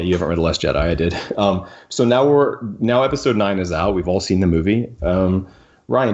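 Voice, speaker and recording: Deep-voiced man, halting podcast talk. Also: darkish tone, muffled recording. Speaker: podcast host. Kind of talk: casual discussion of books.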